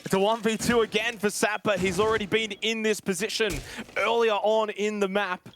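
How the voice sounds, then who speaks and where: A man casting a live esports match, calling the action in quick play-by-play that jumps up to shouts in big moments. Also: high-pitched, little pitch movement, noisy background.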